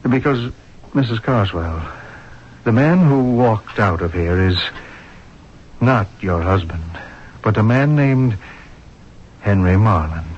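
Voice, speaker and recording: A man's voice: fairly low pitch, animated, slow, pauses often, a little hiss or hum. An actor playing a grave, authoritative male hospital doctor in a 1970s radio drama, speaking emphatically. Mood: grave, confiding. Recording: muffled vintage radio broadcast.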